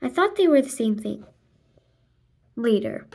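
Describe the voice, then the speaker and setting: Lady doing a kids book read aloud, kinda high voice, slow, acts out the lines and sound effects. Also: lively intonation, gravelly, darkish tone. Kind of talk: expressive read-aloud of a children's graphic novel, voicing dialogue and sound effects.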